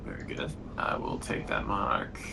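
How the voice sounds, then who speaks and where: A man's voice: moderate pace, noisy background. A man playing a card game who calls out his turns and cracks friendly jokes. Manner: joking, friendly table talk. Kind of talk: casual game-table chat.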